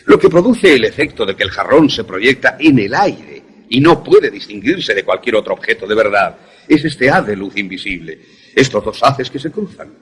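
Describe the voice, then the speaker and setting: An actor playing an older professor in a suspense radio drama, an obsessive inventor who explains things in a deliberate, didactic, husky way. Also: male voice, fairly low pitch, sing-song, a bit bright. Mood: didactic, proud. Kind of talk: Spanish-language suspense radio drama acting.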